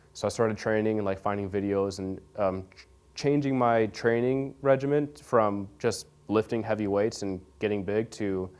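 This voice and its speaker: A young man answers interview questions in a loose, rambling way, leaning on fillers and restarting his sentences. His voice sits low and sounds smooth and clear. He talks casually, like he is chatting with friends. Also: darkish tone. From Nebraska, USA. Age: young adult. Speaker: interview guest. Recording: radio studio interview.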